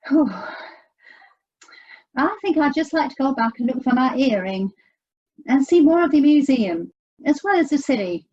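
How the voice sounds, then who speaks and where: A woman's voice: high-pitched, expressive, normal speed, pauses often, mellow, muffled recording. An actress in an audio play playing an anxious, sardonic American woman searching for her estranged sister. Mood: wry, irritated.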